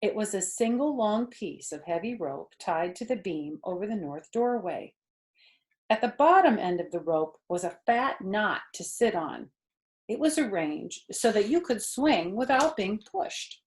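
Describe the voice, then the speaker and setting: A woman storyteller narrating a children's book in an expressive reading style. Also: mid-range voice, a little slow.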